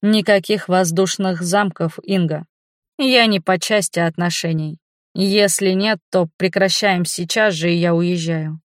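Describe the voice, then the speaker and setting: A woman's voice: fairly low pitch, fairly even intonation, unhurried, no echo, close to an everyday voice. Woman reading as a curt, jaded man, close and dry. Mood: blunt, firm. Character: gruff, jaded, blunt adult man, commitment-shy lover. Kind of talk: audiobook character voice.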